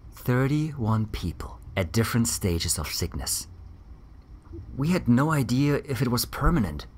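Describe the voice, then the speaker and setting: An actor playing a weary, defensive ex-army doctor in a grave, measured audio drama interview. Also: male voice, on the low side.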